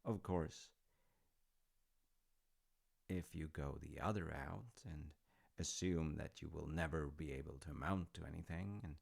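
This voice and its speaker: A man playing a warm, gently teasing dad in an intimate audio roleplay, speaking thoughtfully and reassuringly with a gravelly voice. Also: low-pitched, exaggerated voice. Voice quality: gravelly, creaky. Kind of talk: intimate audio roleplay, slice-of-life acting.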